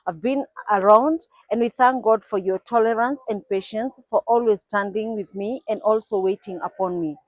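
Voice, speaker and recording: A woman's voice: medium pitch, moderate pace, hardly any pauses, no echo, rough recording. Kenyan woman, 40s, reflective vlogger recounting her childhood, dry and close. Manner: earnest, reflective, with occasional restarts. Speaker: YouTuber telling her life story. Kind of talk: personal storytelling to camera.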